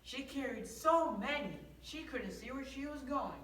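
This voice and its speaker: A woman's voice: high voice, expressive, measured pace, fairly bright tone. A female narrator reading scripted story lines aloud in a readers theater performance. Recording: echoey room, distant mic, faint noise.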